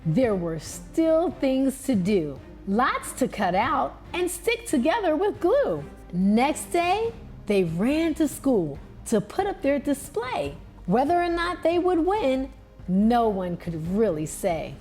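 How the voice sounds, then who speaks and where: Expressive, lively woman reading a children's story. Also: high-pitched, soft background music. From New York. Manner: deliberate, expressive. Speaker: children's read-aloud host.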